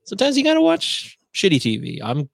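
A man's voice: high voice, brisk, creaky, no room sound, clean studio sound. A man co-hosting a casual podcast, bantering, laughing easily and swearing freely. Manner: animated, laughs readily, profane. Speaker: podcast co-host.

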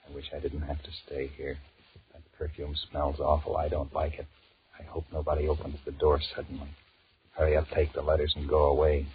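An actor playing a weary, sullen two-timing American office man in a 1940s radio drama, musing in a deadpan mutter. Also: rumbling low. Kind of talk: 1940s radio drama acting, inner monologue. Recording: muffled, noisy 1940s radio recording.

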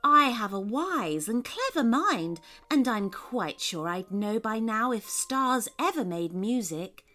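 A woman's voice: fairly high pitch, sing-song, takes it slowly, pushed and bright, heavily acted. A woman as a haughty, swooping-voiced lady owl. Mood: dismissive. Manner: pompous, dismissive. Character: haughty, busy, self-important female owl.

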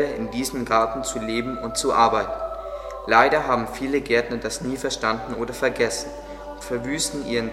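A man's voice: mid-range voice, close to an everyday voice. Man playing a devout gardener, echoing. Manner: earnest, explanatory. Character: devout, kindly male gardener, patient teacher. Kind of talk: audio drama dialogue. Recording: echoey, long reverb, under music. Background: music.

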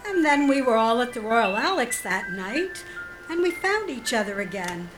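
A woman's voice: fairly high pitch, very animated, moderate pace, over music, poor audio. A woman playing a polite, guarded middle-aged friend with something to hide, speaking hesitantly in an audio drama. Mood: guarded, wistful. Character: polite, guarded woman hiding an affair from her old friend.

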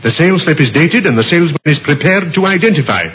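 An actor playing a deferential police sergeant in an old-time radio mystery, respectful and matter-of-fact. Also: male voice, a little high, expressive, fast, a bit bright. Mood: dutiful. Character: deferential, dutiful male police sergeant reporting to superior. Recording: muffled, noisy vintage radio recording.

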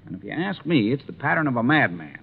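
Folksy police sergeant, tinny old radio. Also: male voice, mid-range voice, big pitch swings, fast, gravelly. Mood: opinionated, earnest. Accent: American. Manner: plain-spoken, colloquial, opinionated. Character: folksy, talkative small-town male police sergeant. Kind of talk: 1950s radio drama acting. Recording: tinny, noisy 1950s radio recording.

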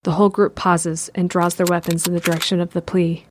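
A woman reading scripted narration for an audio drama in an even, descriptive way. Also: lowish voice, fairly quick, a little hiss or hum.

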